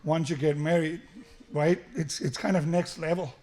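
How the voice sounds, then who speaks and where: A man's voice: a little high, steady tone, moderate pace, a little mellow. A middle-aged man with a South African accent giving a joking father-of-the-groom wedding speech, with frequent restarts, into a microphone at a reception. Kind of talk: wedding toast. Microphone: handheld microphone through the sound system.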